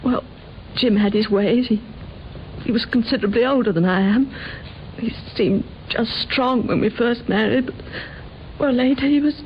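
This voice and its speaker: An actress playing a subdued, hesitant widow in an old radio crime drama, answering in halting phrases. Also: female voice, fairly high pitch, lightly acted. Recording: dull, noisy old radio recording.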